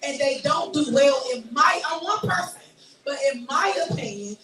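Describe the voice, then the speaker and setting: A woman host with a raspy voice, giving blunt, forceful opinions in a heated casual discussion. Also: high voice, expressive, moderate pace, roomy sound, distant mic, low-quality recording. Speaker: show host.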